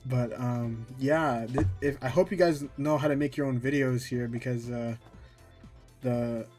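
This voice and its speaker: A man's voice: medium pitch, steady tone, unhurried, darkish tone, soft background music. A man talking informally and a bit ramblingly through a casual gameplay tutorial, often poking fun at himself. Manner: informal, rambling, self-deprecating. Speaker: tutorial video maker.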